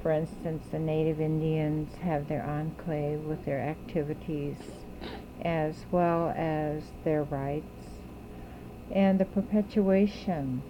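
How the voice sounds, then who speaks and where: Low-voiced, slow woman interviewer. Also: steady tone, dull audio, background noise. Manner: deliberate, formal questioning. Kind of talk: oral history interview.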